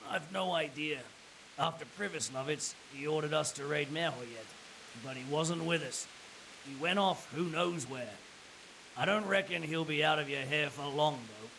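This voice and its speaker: An actor playing a resigned, cynical captured mercenary in a video game drama, wry and matter-of-fact, with a gravelly voice. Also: male voice, on the high side, normal speed, bright, forceful tone, slight background noise, rough recording. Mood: cynical, frank.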